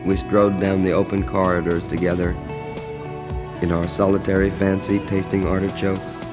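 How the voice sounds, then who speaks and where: A man reciting a poem as a scripted voice-over, in a measured, contemplative manner. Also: sits low. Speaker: poem narrator. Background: music.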